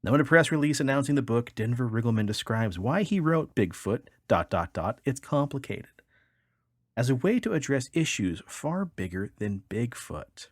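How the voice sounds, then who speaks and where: A man's voice: medium pitch, animated, moderate pace, dry recording, studio quality. A man co-hosting a podcast, reading odd news stories aloud with casual, wry, joking commentary. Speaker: podcast co-host.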